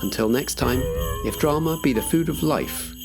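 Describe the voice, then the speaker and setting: A man's voice: mid-range voice, expressive, moderate pace, gravelly, music in the background. A man reading scripted credits as the announcer of an audio drama podcast. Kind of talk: scripted credits narration over music.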